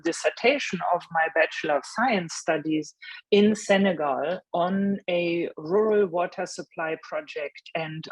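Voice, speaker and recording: A German woman with a German accent, speaking as a panelist about her career path, pausing often with ums and restarts. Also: fairly low pitch, no echo, rough recording. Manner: hesitant, many ums and restarts.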